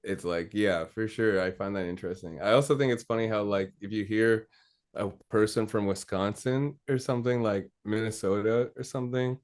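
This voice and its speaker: A Canadian man with a fairly low voice talks on a podcast. He tells his stories in a loose, rambling way and peppers them with "like" and "he's like.". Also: fairly even intonation, moderate pace. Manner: rambling storyteller, heavy use of "like". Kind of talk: casual chat.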